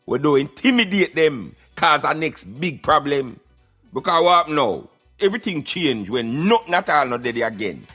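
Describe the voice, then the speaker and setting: A man's voice: on the high side, lively intonation, moderate pace, sharp tone, breathy edge, faint music behind. A Jamaican man giving opinionated conversational commentary on a radio show, in patois with emphatic interjections.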